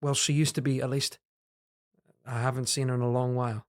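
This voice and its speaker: A man's voice: mid-range voice, level tone, a little fast, a little mellow, natural voice. An actor in an audio drama playing a guarded, earnest young man who speaks politely and hesitantly to his superior. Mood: cautious, polite. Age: young adult.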